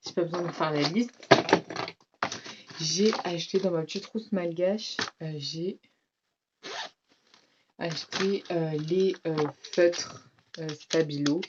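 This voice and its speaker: A teen girl with a French accent talks in a low, slightly stuffy voice, rambling through her school supplies with lots of fillers and quick asides to her viewers. The sound is dull and muffled, with a clear hiss of noise underneath. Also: fairly even intonation. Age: teens. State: slightly congested, has a cold. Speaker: vlogger. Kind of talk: casual back-to-school haul vlog.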